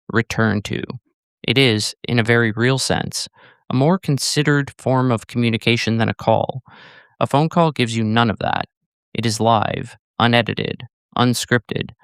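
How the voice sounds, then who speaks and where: A man narrating a scripted video essay in a measured, deliberate way with short, emphatic phrases. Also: mid-range voice, clear, resonant tone, no echo, studio quality.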